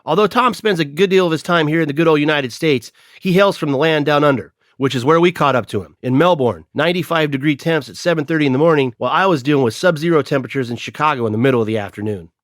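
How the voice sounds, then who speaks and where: A man's voice: a little high, fast talker, hardly any pauses, a bit bright, no room sound, studio quality. A man hosting a podcast, introducing a segment and putting questions to his guest. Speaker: podcast host and interviewer.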